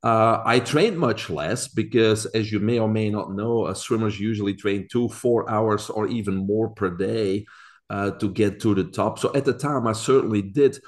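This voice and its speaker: A man's voice: fairly low pitch, moderate pace. A man in his forties from Belgium with a Belgian accent, a podcast guest explaining things earnestly with frequent fillers. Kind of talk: casual interview talk.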